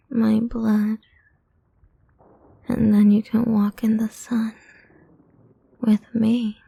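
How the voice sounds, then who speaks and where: A woman playing a seductive, domineering ancient vampire queen in an intimate ASMR roleplay, mostly in a breathy, husky whisper, slow, teasing and commanding. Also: medium pitch, mellow, heavily acted.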